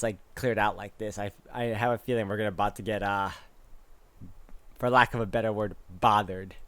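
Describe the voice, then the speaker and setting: A man's voice: lowish voice, no room sound. A man playing through a visual novel, reading its text aloud and adding casual commentary. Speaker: game streamer doing a walkthrough. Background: game music.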